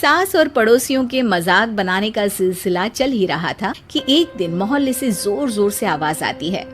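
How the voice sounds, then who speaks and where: A woman reading scripted narration as the storyteller of an animated moral tale. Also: medium pitch, moderate pace, faint music behind.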